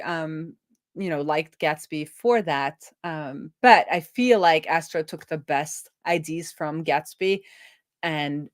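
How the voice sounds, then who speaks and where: A woman's voice: low-pitched, dry recording, clean studio sound. A woman hosting a casual live coding stream, thinking aloud as she explains technical things informally. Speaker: live-streaming web developer and host.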